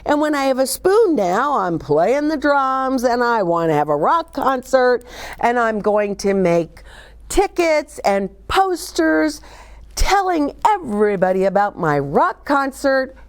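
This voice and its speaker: Unhurried, animated woman children's story host. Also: fairly high pitch. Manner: expressive, talks directly to young listeners, asks questions. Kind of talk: read-aloud and chatty book talk for children.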